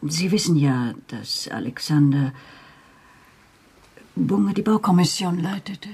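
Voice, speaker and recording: An aggrieved, loyal secretary in a radio play. Her breathy, husky voice moves up and down a lot, stiffly polite and reluctant. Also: fairly low pitch, animated, slow, darkish tone, exaggerated voice. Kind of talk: radio drama acting.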